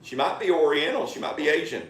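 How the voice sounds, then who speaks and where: A man co-hosting a heated casual debate, loud and mocking, with a drawl. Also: on the high side, lively intonation, fast, reverberant, slight background noise.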